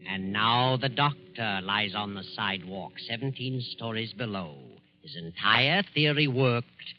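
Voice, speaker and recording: An American man narrating in a measured, ominous, dramatic 1940s radio style with weighty pauses. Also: on the low side. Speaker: narrator and announcer. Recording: dull 1940s radio broadcast with music. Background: dramatic music.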